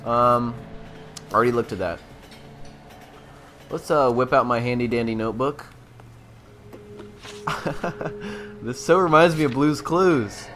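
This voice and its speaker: Slow-paced young man doing casual game commentary. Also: medium pitch, a bit bright. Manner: jokey, slangy, thinking aloud. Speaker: gamer, walkthrough host. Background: faint game music.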